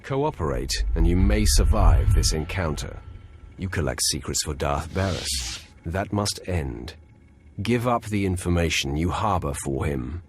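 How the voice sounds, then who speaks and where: A man's voice: deep voice, expressive, a little slow, a little hiss or hum. A man playing a cold, commanding Sith warrior in a video game, speaking in terse, menacing lines. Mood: cold, threatening.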